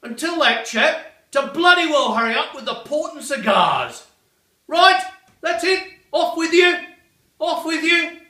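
A man's voice: very high voice, very animated, measured pace, sharp tone, full character voice. A man playing a blustering old British war veteran with an upper-class English accent, ranting indignantly and guffawing in a comedy monologue. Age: older. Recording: echoey room, distant from mic.

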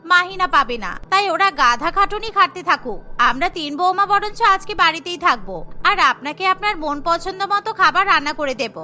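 A woman's voice: extremely high pitch, animated, moderate pace, few pauses, pushed and bright, soft background music, heavily acted. A woman voicing a smug, sugary young daughter-in-law in a cartoon, coaxing and condescending.

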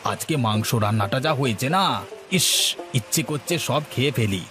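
A man voicing a fretful, food-loving village husband in a cartoon, with an exclamatory, stammering, drawn-out delivery. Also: medium pitch, expressive, lightly acted. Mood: delighted, savouring. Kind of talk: cartoon dialogue acting. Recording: music bed behind voice.

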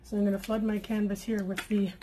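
A woman's voice: mid-range voice, fast, rough recording. A woman hosting a paint-pouring channel, chatting casually at home as she works at her craft table. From Canada. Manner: chatty and relaxed, with fillers and asides. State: feels she is losing her voice. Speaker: acrylic pouring art channel host. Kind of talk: casual tutorial chat while painting.

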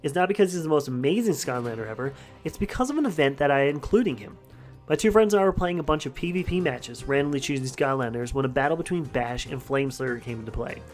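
A man's voice: medium pitch, expressive, soft background music. A young man narrating a countdown voice-over with enthusiasm over gameplay footage. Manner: enthusiastic, brisk reading. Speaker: gaming channel host.

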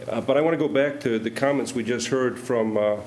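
A man's voice: mid-range voice, a little fast, a little mellow, a little hiss or hum. A middle-aged man alderman questioning budget figures with skeptical, pointed challenges during a city council debate, speaking into a desk microphone.

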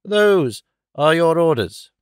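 A pompous, indignant middle-aged gentleman , acted out by a male narrator, pitched high and very clearly voiced. He blusters in grandiose Victorian storybook phrases, halting and hemming as he goes. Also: dry recording, clean studio sound, close to an everyday voice. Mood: furious, blustering. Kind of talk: audiobook character acting of a Victorian novel.

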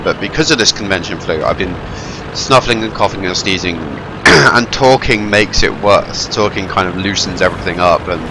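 Low-voiced man with a cold. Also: background noise. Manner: rambling, wry, self-deprecating. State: stuffy head cold, voice going. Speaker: gameplay commentator. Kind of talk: casual gameplay commentary. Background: game sounds, gunfire and engines.

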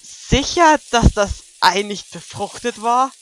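A woman voicing a bossy, sharp-tongued cartoon pony princess in a fan audio drama, with dry, teasing sarcasm. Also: fairly high pitch, animated, takes it slowly, poor audio. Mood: skeptical.

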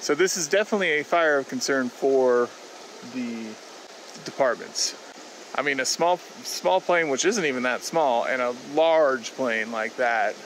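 A man in his thirties narrates a camping vlog in a medium-pitched voice. He speaks casually and matter-of-factly, pausing with hesitations. He is recorded outdoors on a handheld phone with faint ambient noise behind him. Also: animated, fairly bright tone, poor audio. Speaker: travel vlogger. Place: outdoors at a forest campsite. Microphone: handheld phone at arm's length.

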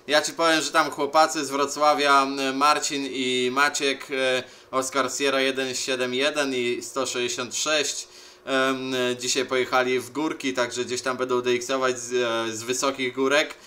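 A man chatting casually as an amateur radio operator, with a halting delivery. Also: medium pitch, level tone, sharp tone. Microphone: handheld camera beside the radio set.

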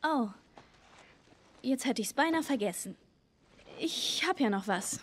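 A teenage girl surviving in a video game drama. Her voice is high and she speaks very slowly, with gentle, hesitant pauses. She is plain-spoken and caring. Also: animated, a little hiss or hum. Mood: reassuring, candid. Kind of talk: German video game dubbing, naturalistic dialogue.

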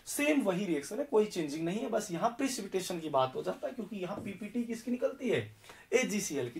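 A hoarse young man teaching chemistry at a board, explaining step by step and asking questions that he answers himself. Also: a little high, recorded from a distance. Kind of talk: whiteboard lecture. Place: indoor classroom in front of a green board.

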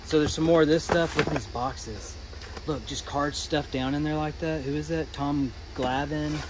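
A man in his thirties with a slightly high voice talks fast and excitedly as he digs through a storage unit. The metal-walled room is recorded on a camera mic, with clear background noise from the space and the road. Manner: excited, rapid commentary while digging through boxes. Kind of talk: casual vlog narration. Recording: noisy storage unit ambience.

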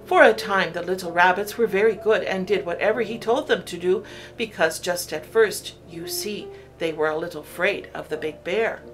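A woman narrating a children's story from a script, in an expressive, deliberate storytelling way. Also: on the low side, soft background music.

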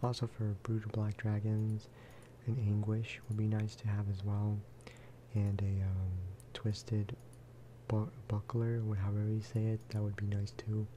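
Man, soft-spoken ASMR gaming chat, lowish voice, flat intonation, unhurried and rambling. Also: noisy background. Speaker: gaming video creator.

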